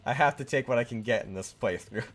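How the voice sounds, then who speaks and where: A man's voice: medium pitch, fast. A young man playing a video game with friends, rambling unsurely and reading game text aloud. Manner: rambling, unsure, laughs a lot. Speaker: let's play host.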